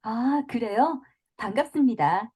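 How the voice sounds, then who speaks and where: Slow, mellow woman reading dialogue lines. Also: fairly high pitch, expressive, slightly rough. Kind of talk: scripted dialogue for a listening exercise.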